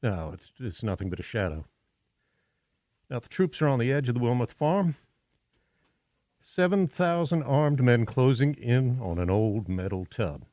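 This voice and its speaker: An actor playing an alarmed field reporter in a radio drama, halting and breaking off mid-phrase as he describes what he sees. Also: medium pitch, big pitch swings, warm tone, no room sound. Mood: grim, watchful. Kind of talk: live radio drama acting.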